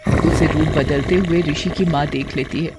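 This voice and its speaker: A man's voice: on the high side, fairly even intonation, normal speed, loud music behind the voice. A man reading scripted horror story narration as a storyteller.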